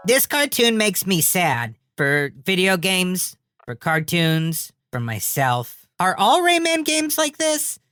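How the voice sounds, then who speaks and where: A man's voice: sits high, big pitch swings, slow, hardly any pauses, bright, forceful tone, soft background music, pitched above the performer's own voice, exaggerated voice. A man voicing a gloomy, disgusted inner child with a melodramatic, mournful delivery, as if reviewing a cartoon.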